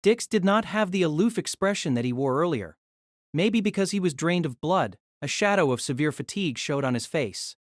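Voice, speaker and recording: A man's voice: a little high, expressive, normal speed, no echo, studio quality. A man reading scripted dramatic narration for an audio drama.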